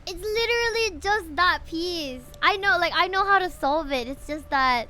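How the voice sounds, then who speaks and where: A woman's voice: very high voice, lively intonation, normal speed, pushed and bright, slight background noise. A young woman from the Philippines streaming a game, muttering to herself and swearing as she works through a puzzle. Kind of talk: casual gaming stream commentary.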